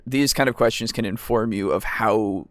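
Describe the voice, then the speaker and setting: A man's voice: on the low side, normal speed, dry recording, clean studio sound. A man co-hosting a casual discussion about tabletop games, talking hesitantly as he thinks aloud. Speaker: podcast co-host.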